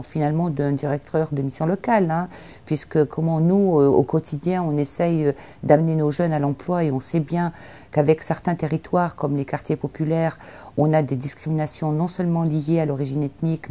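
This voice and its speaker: A woman's voice: sits low, fairly even intonation, normal speed, dark tone. A woman giving an articulate, explanatory spoken recap in an interview, as a representative of a youth employment agency.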